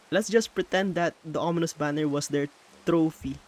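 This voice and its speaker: A man from the Philippines talks casually in a Filipino accent while building in a game, his voice sitting a little high. He often pauses mid-sentence to find the right English word, since Tagalog is his first language. A faint hiss runs under the recording. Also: a little mellow. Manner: thinks aloud, pauses to hunt for English words. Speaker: streamer.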